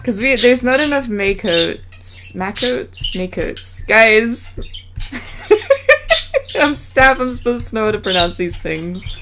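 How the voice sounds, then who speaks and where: A woman with a Southern US accent chatting casually with her stream chat while drawing, relaxed and laughing often. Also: a little high, slow pace, slight background noise. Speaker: artist live streaming, reading chat.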